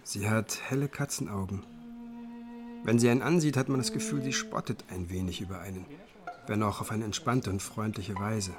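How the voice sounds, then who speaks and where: An actor playing an observant, cultured musicologist who speaks in a measured, literary way in a radio drama. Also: male voice, fairly low pitch, close to an everyday voice. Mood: admiring, reflective. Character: observant, cultured male musicologist narrator, precise and reflective. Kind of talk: radio drama narration and dialogue. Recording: music behind the voice.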